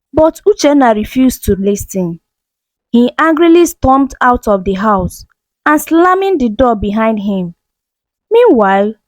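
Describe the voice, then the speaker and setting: A woman's voice: a little high, no room sound, rough recording. A woman telling a scripted story as a dramatic narrator.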